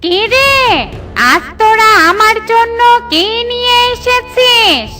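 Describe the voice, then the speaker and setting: A woman's voice: very high-pitched, animated, slow, exaggerated voice. A woman voicing a bossy, greedy wicked witch in a children's cartoon, scolding and gloating. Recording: loud children's music behind.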